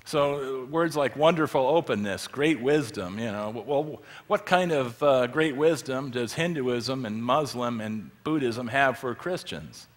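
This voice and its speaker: A man's voice: medium pitch, expressive, unhurried, few pauses. A middle-aged man giving a conversational lecture from a church stage, full of fillers and rhetorical questions. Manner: moves about gesturing with a remote. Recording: long hall reverb.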